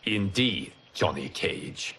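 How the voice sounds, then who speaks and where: An actor playing a calm, solemn fire god in a video game cutscene, speaking in a grave, measured, formal way. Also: male voice, low-pitched, a little hiss or hum, heavily acted. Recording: game cutscene with music behind.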